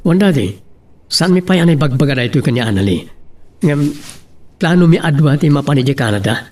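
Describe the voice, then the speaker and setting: A man acting an earnest higher-voiced father. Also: animated, brisk, a little mellow, natural voice. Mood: earnest, explaining. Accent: Ilocano. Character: earnest grown son and dutiful father. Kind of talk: Ilocano audio drama acting.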